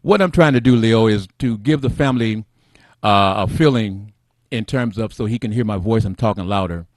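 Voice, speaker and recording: A middle-aged man hosting a casual talk show, soft-spoken and rambling, who addresses his viewers as family. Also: fairly low pitch, normal speed, no echo. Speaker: host.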